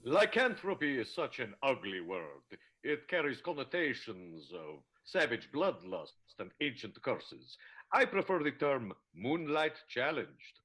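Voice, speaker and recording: Dry, animated vaudeville werewolf. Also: male voice, medium pitch, a little slow. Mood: suave, indignant. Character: suave, straight-talking male werewolf of 1920s vaudeville. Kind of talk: improvised radio play.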